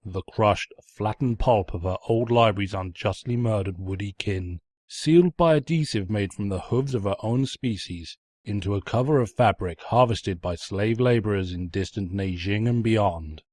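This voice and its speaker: Casting: male story narrator, raspy, mock-pompous and sardonic, very dry close recording. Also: lowish voice, normal speed, few pauses, darkish tone, no echo. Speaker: narrator, fan fiction reader. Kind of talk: theatrical scripted story narration.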